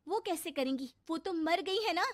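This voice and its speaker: A woman voicing a quiet, hurt but defiant young student in a cartoon moral story, speaking plainly and with restraint. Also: extremely high pitch, animated, moderate pace, no echo, studio quality, pitched above the performer's own voice.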